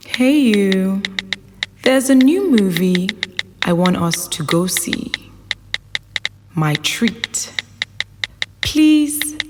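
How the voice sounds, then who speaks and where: An imperious woman boss, swinging pitch. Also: background noise. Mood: tense, pleading. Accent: Nigerian. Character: demanding, short-tempered woman boss, desperate in love. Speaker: actor in an audio drama. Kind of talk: modern audio drama acting.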